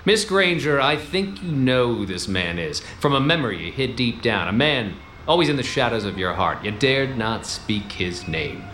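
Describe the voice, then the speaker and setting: An actor playing a cocky, bossy 1920s private detective in a noir radio comedy, with clipped wisecracking delivery. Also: male voice, medium pitch, very animated, moderate pace, hardly any pauses, a bit bright, slight background noise. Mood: cocky, scolding.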